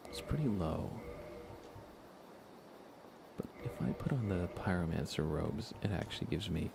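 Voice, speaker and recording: A man softly giving casual ASMR commentary as he livestreams a game, often slipping into a near-whisper. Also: sits low, lively intonation, unhurried, gravelly, noisy background. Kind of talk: soft ASMR gaming commentary, casual chat.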